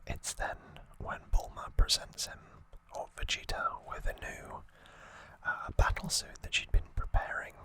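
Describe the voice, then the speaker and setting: Casting: ASMR host, breathy whisper throughout, crisp bright hiss, gentle informal storytelling. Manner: gentle, unhurried, informal recapping.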